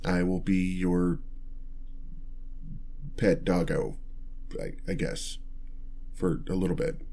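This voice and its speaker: A bashful male vampire, acted by a man for an ASMR roleplay, with a low-pitched voice. He stammers and gets flustered, too awkward and kind to be frightening. He speaks straight to the listener in halting phrases. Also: fairly even intonation, gravelly, dark tone, exaggerated voice. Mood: hesitant, reluctant. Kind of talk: ASMR roleplay, one-sided dialogue to listener.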